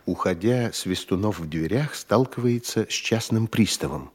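A man's voice: lowish voice, animated, moderate pace, a little mellow, a little hiss or hum. A man narrating an audio play, reading out stage directions in a scripted manner.

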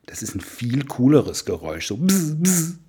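A man's voice: medium pitch, sing-song, normal speed, warm tone, slightly rough. A man talking casually as co-host and reporter of a radio documentary. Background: faint music.

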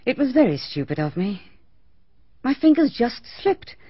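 An actress playing a composed, well-spoken village hostess who answers briskly and a little defensively in a radio drama. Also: female voice, fairly high pitch, very animated, breathy edge, no room sound. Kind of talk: BBC radio drama acting. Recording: dull archival radio recording.

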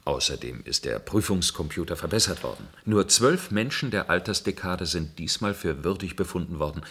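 A man's voice: low-pitched, expressive, moderate pace, sharp tone, breathy edge, exaggerated voice. An actor playing a dutiful, formal control engineer who reports to his superior in a German science-fiction radio play. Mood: dutiful reporting. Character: deferential male control engineer serving a dystopian bureaucracy.

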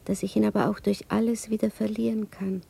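A woman's voice: medium pitch, flat delivery, brisk, vocal fry, mellow, a little hiss or hum. A woman playing a shy, lovestruck provincial young widow in a radio drama, hesitant and musing. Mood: musing, yearning. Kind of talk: intimate radio drama acting.

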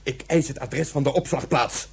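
Husky, domineering military colonel. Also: male voice, medium pitch, normal speed, darkish tone. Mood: stern, demanding. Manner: barking, commanding, clipped orders. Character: curt, domineering military colonel in space invasion. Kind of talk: science fiction radio drama acting.

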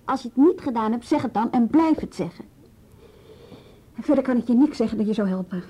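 A woman's voice: a little high, expressive, warm tone, breathy edge. A woman acting in a radio drama as a frank, level-headed policewoman, speaking carefully and matter-of-factly. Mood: firm, level. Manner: careful, deliberate, matter-of-fact. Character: policewoman, level-headed, frank, guarded adviser to a young suspect. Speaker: police colleague. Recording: dull radio play recording.

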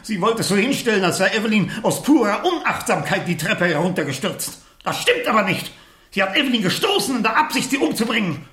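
An actor playing an agitated, protective uncle in a radio crime drama, emphatic, respectable and indignant. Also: male voice, sits high, brisk, heavily acted. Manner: excitable, emphatic, swooping. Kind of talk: 1970s German radio crime drama acting.

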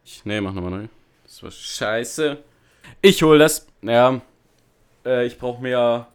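A man rehearsing lines as an amateur performer, breaking off between loud theatrical takes and casual chat. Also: mid-range voice, lively intonation, takes it slowly, clean tone.

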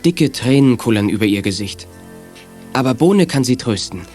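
A man's voice: mid-range voice, expressive, normal speed, a little mellow, close to an everyday voice. A man narrating a children's audio drama in a scripted storytelling style. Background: music under the voice.

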